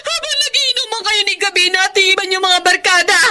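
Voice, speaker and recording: Anguished mom in a radio soap, super high sharp voice, tearful and heartbroken. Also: female voice, lively intonation, fairly quick, full character voice. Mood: furious, hurt. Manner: emotional, tearful delivery. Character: betrayed, anguished mother leaving her deceitful lover. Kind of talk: Tagalog radio drama acting.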